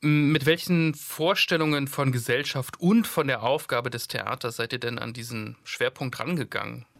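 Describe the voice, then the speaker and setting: A man in his thirties hosting a podcast and interviewing a guest in a clear, measured, conversational way. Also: medium pitch, fairly bright tone, no room sound, studio quality. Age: 30s. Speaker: podcast host.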